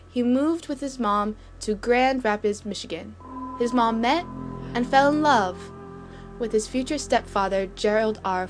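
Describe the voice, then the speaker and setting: A woman reading a scripted storybook-style historical narration. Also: fairly high pitch.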